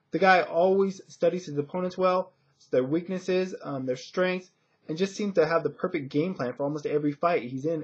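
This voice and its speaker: A man talking casually and haltingly in an unscripted sports prediction vlog, with a husky voice. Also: a little high. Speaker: fight commentator and video blogger. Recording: dull lo-fi webcam audio.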